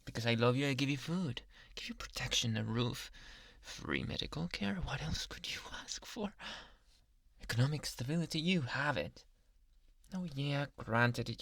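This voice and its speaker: A man's voice: mid-range voice, gravelly, a bit bright, no echo, full character voice. A man playing a possessive, doting young yandere doctor in an ASMR roleplay, murmuring breathily and slipping in and out of whispers close to the mic. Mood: controlling, coaxing. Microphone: close microphone.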